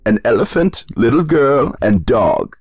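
A kindly old fairy-tale shoemaker for a children's cartoon. He speaks slowly and deliberately, with lively, expressive pitch movement. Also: husky, faint music behind. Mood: kindly, proud. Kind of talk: animated fairy-tale acting.

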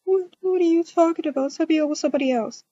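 Woman acting a squeaky, whimpering heartbroken young woman. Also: dark tone, lo-fi sound, natural voice. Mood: sulky, unsure. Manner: whimpering, drawn-out wailing. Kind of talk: amateur game roleplay.

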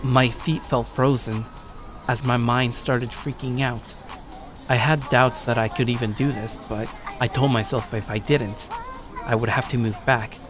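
A man's voice: mid-range voice, steady tone, unhurried, lightly acted. A man playing a jaded, self-conscious young shut-in, telling his story in the first person with weary, sardonic asides. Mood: anxious, startled. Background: background music.

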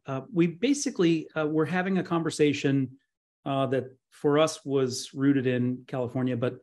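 A middle-aged man giving an online slide presentation in halting, pause-filled phrases. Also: mid-range voice, a little mellow. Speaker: conference presenter, nonprofit co-founder. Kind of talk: slide presentation at a virtual expo. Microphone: webcam on a video call.

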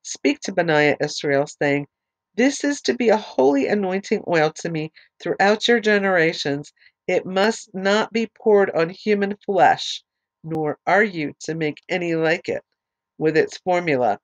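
A woman teaching a Bible study whose voice is fading from allergies. She reads scripture aloud slowly, adds short explanations, and spells out names letter by letter. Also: on the low side, animated. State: losing her voice from allergies.

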